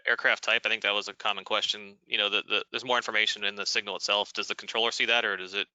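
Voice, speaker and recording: Very bright, crisp-voiced man moderating. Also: lowish voice, little pitch movement, very fast, no echo, poor audio. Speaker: webinar host, moderator. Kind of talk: informal question-and-answer moderating.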